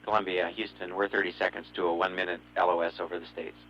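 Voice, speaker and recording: A man's voice: deep voice, little pitch movement, fast talker, a little hiss or hum. A man as ground control communicator, speaking briefly and procedurally to a spacecraft crew. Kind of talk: radio exchange with spacecraft. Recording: dull radio transmission.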